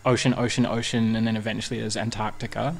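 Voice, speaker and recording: A man's voice: on the low side, little pitch movement, fast, creaky. A young man from New Zealand, a musician guest talking casually and rambling, with many fillers and restarts. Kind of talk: casual interview chat.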